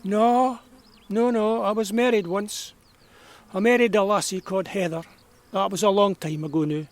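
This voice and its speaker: A man's voice: sits high, steady tone, normal speed. An actor playing a kindly, nostalgic old man of about eighty with a broad rural Perthshire Scottish accent, reminiscing in a folksy way in an audio drama. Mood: fond, nostalgic. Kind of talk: naturalistic audio drama storytelling.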